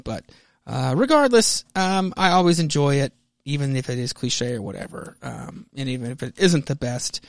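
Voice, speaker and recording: A man hosts a casual solo podcast in a mid-pitched voice. He rambles in a halting way, doubling back to correct himself. The sound is close and dry, with hardly any room to it. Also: dry recording. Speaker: podcast host.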